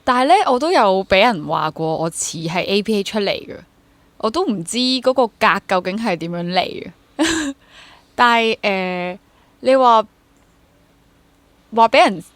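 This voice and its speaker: A woman's voice: medium pitch, lively intonation, measured pace, no echo. A woman in her twenties with a Hong Kong accent, speaking candidly and reflectively in a casual interview, mixing in English words. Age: 20s. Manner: candid, self-questioning, mixes English words into sentences. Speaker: interview guest, freelance theatre actor and drama teacher.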